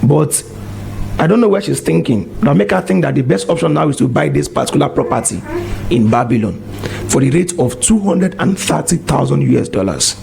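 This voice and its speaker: A man's voice: on the high side, animated, fairly quick. A man, preaching a monologue full of exhortations and rhetorical questions. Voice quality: gravelly. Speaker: religious commentator.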